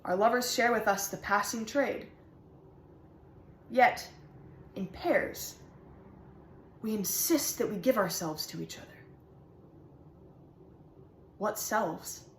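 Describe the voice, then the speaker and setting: A woman performing an intense, reflective lover in a dramatic stage monologue, in weighted phrases with deliberate pauses. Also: fairly high pitch. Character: intense lover reflecting on intimate knowledge and loss. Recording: echoey room.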